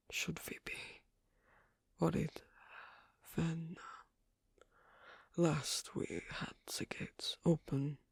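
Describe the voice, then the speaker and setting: A performer playing a shy, bookish, isolated swan king in intimate ASMR roleplay, speaking halting English with a foreign accent in a creaky half-whisper. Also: mid-range voice, a little mellow, dry recording, exaggerated voice. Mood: cautious curiosity. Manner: halting, hesitant, clipped non-native phrasing.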